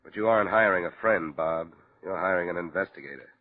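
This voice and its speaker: A man's voice: low voice, fast talker, close to an everyday voice. A man playing a hard-boiled insurance investigator with an American accent in a 1950s radio detective drama, clipped and matter-of-fact. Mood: terse, sober. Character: hard-boiled male freelance insurance investigator, brisk, unsentimental. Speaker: lead actor and narrator. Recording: muffled vintage radio broadcast recording.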